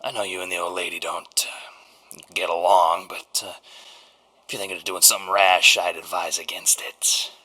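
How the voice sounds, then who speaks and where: Man doing a low, drawling gangster right-hand man. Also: level tone, sharp tone, exaggerated voice, rough recording. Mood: stern, cautious. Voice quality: smooth drawl. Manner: measured, stern, cagey. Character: smooth, contemplative male gangster right-hand man and ambassador.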